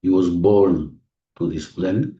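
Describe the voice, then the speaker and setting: A man leading a guided visualization in non-native English phrasing, giving gentle, repetitive instructions with deliberate pauses, recorded muffled and distant in an echoey room. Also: deep voice, steady tone. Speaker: guided meditation leader. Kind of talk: guided visualization, spoken slowly to a listener.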